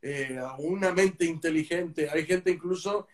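A man explaining things in a casual conversation, often halting with repeated fillers. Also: fairly high pitch. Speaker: missionary.

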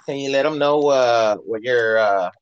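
A man's voice: medium pitch, a little slow, clean tone, no room sound. A man hosting a casual phone interview, chatting informally and encouragingly. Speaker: interview host. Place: remote phone call. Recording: dull, lo-fi phone call audio.

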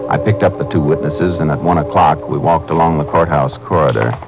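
Low-voiced, terse Texas lawman. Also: brisk, poor audio. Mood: matter-of-fact narration. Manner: terse, matter-of-fact. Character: calm, businesslike Texas Ranger investigator and narrator. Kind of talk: 1950s radio acting, narration and dialogue. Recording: old radio drama with music.

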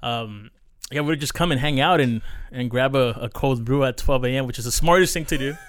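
A man's voice: medium pitch, a little fast, slight background noise. A man from California hosting a podcast in casual chat, loose and talkative, with lots of fillers and restarts. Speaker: podcast host.

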